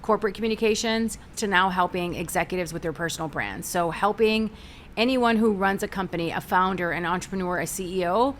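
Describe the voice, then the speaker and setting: A middle-aged American woman, a guest in a talk-show interview, telling stories in an energetic, animated way. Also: fairly low pitch, fairly quick, slight background noise. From United States. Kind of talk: conversational interview.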